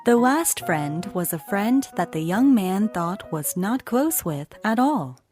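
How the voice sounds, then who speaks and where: Expressive, unhurried woman storyteller over music. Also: medium pitch. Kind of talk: scripted children's bedtime story narration.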